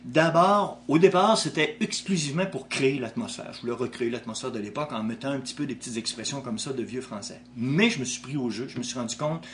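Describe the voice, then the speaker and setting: A man's voice: medium pitch, fast, few pauses, a bit bright, a little hiss or hum. A man with a Québécois French accent, an author answering interview questions in a thoughtful way, with frequent restarts. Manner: thoughtful, with many restarts and hesitations.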